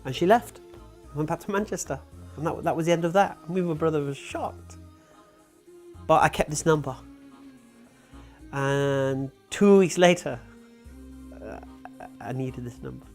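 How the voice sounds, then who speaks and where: A man's voice: a little high, measured pace, long pauses, soft background music. A man of forty who grew up in Wales, speaking frankly about his life in a casual interview, with frequent ums and uhs. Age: 40s. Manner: frank, plain-spoken, with many ums and uhs. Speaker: interview guest. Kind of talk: casual personal storytelling.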